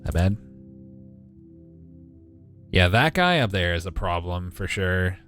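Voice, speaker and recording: A man in his twenties with a deep voice gives casual, wry live gaming commentary and talks back to his chat. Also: sing-song, slow, a bit bright, faint music behind. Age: 20s. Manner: wry, joking, mild swearing, talks to chat. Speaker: game streamer.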